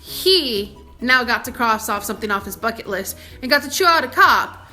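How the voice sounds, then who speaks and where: A young woman vlogger telling a personal story in a casual, animated and emphatic, indignant way. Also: on the high side, normal speed, faint music behind.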